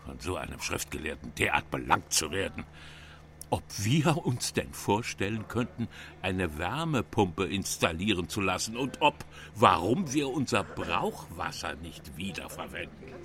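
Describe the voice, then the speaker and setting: Blustering engineer, wildly swinging pitch. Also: male voice, big pitch swings, a little slow, bright, forceful tone, slight background noise, full character voice. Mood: indignant complaining. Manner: blustering, complaining. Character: grumbling middle-aged engineer and father, indignant, put-upon. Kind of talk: German radio play dialogue.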